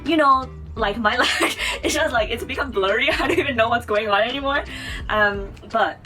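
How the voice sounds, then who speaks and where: A woman's voice: fairly high pitch, moderate pace, pushed and bright, faint music behind. A woman in her twenties from Taiwan, chatting to camera as a vlogger, rambling with many fillers and restarts. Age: 20s. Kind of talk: casual chat to camera.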